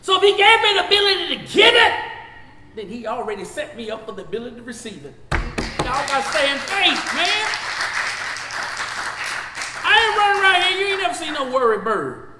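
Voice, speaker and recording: A man in his fifties with a Southern US accent teaching a Bible study as pastor. He swings from shouting to low talk, recorded in a church sanctuary on a lapel mic. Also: sing-song, fairly quick, pauses often, reverberant, long reverb tail, a loud crowd, very noisy. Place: church sanctuary, seated before a projection screen.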